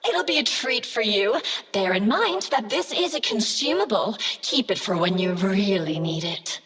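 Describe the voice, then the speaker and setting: A woman's voice: medium pitch, animated, moderate pace, a bit bright, breathy edge. An actress voicing an affectionate female AI companion in a video game, sweet and pleading. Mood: tender. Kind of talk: video game character dialogue.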